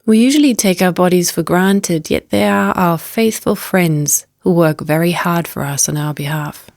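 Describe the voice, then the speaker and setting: A woman with a slightly low voice narrates a guided meditation. She is gentle and unhurried, phrasing instructions as invitations. The recording is dry, with very little room sound. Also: gravelly, no echo. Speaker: meditation teacher.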